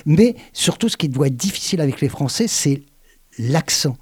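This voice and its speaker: Lively chatty male radio host. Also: a little high, normal speed, slightly rough. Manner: lively, talkative, many fillers. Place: radio studio.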